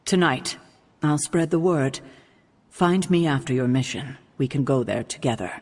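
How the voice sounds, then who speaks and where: A woman voicing an earnest, self-doubting superhero team leader in a video game, serious and deliberate. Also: extremely low pitch, lively intonation, darkish tone. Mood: resolved, hesitant. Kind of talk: video game cutscene acting.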